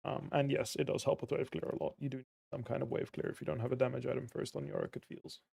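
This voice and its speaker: A man streaming a game, casually answering viewer questions in a relaxed, friendly way. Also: mid-range voice, fast, gravelly, breathy edge, dry recording, studio quality. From Belgium. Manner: relaxed and friendly, with easy fillers.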